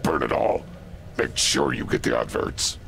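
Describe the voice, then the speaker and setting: A menacing thug ringleader from a video game, giving curt orders. The voice is extremely low and heavily gravelled, with music behind it. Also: male voice, animated, a little slow, full character voice. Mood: cold, commanding. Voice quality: very deep, gravelly.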